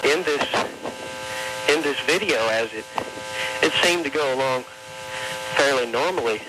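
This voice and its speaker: Male radio caller, medium pitch, halting and deliberate delivery, clearly noisy, humming line. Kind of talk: slow, hesitant phone-in anecdote.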